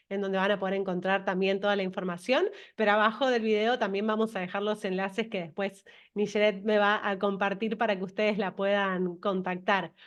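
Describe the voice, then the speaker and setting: Woman hosting an interview. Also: mid-range voice. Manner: encouraging, affirming. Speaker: interview host.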